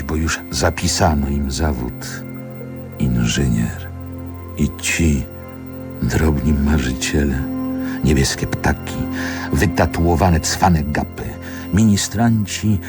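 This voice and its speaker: Very deep, slow, brooding man reminiscing. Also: heavily acted. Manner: measured, deliberate phrasing. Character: brooding grown man lyrically recalling a rough riverside city childhood. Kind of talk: poetic radio play monologue. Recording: music under the voice.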